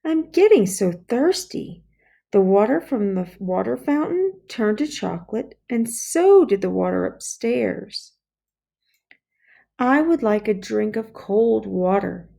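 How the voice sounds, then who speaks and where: A woman's voice: medium pitch, animated, slow, vocal fry, warm tone, voice pushed up, full character voice. A woman voicing an earnest, aggrieved young schoolboy in a children's storybook, pleading and insistent. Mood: plaintive.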